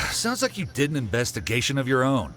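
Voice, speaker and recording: A man's voice: mid-range voice, wildly swooping pitch, a little fast, fairly bright tone. An actor playing an exasperated, guarded man with a shady past in a video game.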